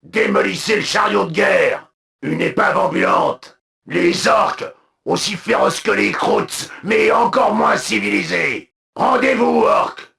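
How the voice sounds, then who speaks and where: An actor voicing a proud, zealous alien military commander for a video game, with a gravelly voice and solemn, imperious, clipped delivery. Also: male voice, fairly high pitch, pushed and bright, full character voice. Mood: urgent, battle-ready. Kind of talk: video game unit voice lines, declamatory.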